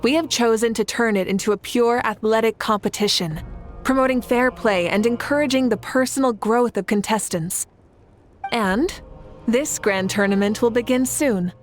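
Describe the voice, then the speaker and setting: A woman's voice: fairly high pitch, normal speed, close to an everyday voice. A woman voicing a confident, kindly warrior leader in a video game, speaking in an assured and eloquent way. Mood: resolute. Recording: studio voice over background music.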